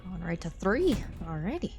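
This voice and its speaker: A woman's voice: on the low side, normal speed, over music. Young woman streamer playing a horror game, jumpy, cusses a lot, casual chat. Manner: excitable, swears often.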